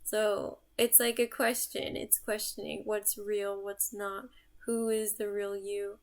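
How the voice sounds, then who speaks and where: Young woman with vocal fry, musing aloud. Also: medium pitch, little pitch movement, slow pace. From United States. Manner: reflective, musing, thinks aloud. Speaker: artist and vlogger. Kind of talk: casual self-introduction to camera.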